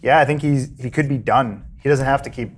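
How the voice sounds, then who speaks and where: A man's voice: mid-range voice, a little fast, husky. A young man hosting a podcast, chatting casually and jokily about a video game, often trailing off and restarting his sentences. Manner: rambling, self-interrupting, jokey. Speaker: podcast host. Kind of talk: casual chat.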